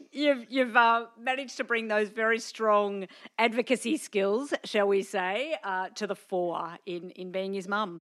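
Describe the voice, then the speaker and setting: Woman host asking careful questions, mid-pitched, steady. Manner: measured, inquisitive. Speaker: interviewer. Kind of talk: interview questions.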